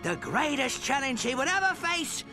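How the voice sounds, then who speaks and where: High-pitched, theatrical robot pirate sidekick. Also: male voice, lively intonation, normal speed. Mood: dramatic storytelling. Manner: theatrical, eager storytelling. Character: excitable pirate sidekick and storyteller, mangy old robot. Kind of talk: video game cartoon narration and banter. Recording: music underneath.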